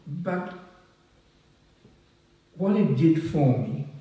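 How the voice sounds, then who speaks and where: An older man with a slightly high voice gives a slow, halting speech full of ums and restarts. He sounds a little distant from the mic. The hall around him is large and very echoey. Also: lively intonation, warm tone. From France. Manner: halting and self-deprecating, many ums and restarts. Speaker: past president honoured at a society oration. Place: large hall at a public event. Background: audience laughing.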